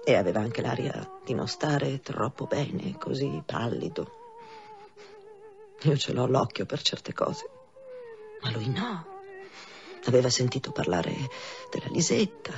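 An actress playing a hard, blunt brothel madam in a radio drama, speaking with intensity. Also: female voice, a bass voice, huge pitch swings, measured pace, creaky, pauses often, a little mellow, exaggerated voice. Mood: broken, confessing. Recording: muffled radio drama sound, faint music.

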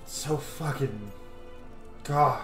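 A man's voice: mid-range voice, very slow, fairly bright tone, faint music behind. A man in his thirties giving casual, enthusiastic, swear-heavy commentary while playing a game. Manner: enthusiastic, profane. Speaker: gaming streamer. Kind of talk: casual let's play commentary. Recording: roomy echo, background game ambience noise.

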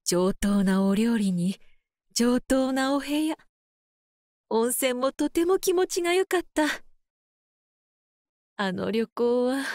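A woman playing a frail, gentle elderly mother in a voice drama, speaking softly and tenderly as she reminisces. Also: high voice, no room sound, studio quality. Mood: fond, wistful. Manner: soft, tender, unhurried. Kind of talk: voice drama acting and first-person narration.

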